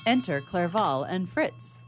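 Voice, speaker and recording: A woman's voice: lowish voice, expressive, slow pace, loud music behind the voice. A woman reading scripted stage directions as narrator of a radio play.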